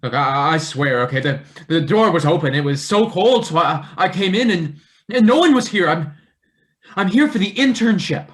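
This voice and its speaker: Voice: medium pitch, animated, normal speed, lightly acted. A performer playing a nervous, sarcastic young intern held captive, stammering and wisecracking in a satirical play reading. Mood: nervous, pleading. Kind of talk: live play table read, satirical stage acting.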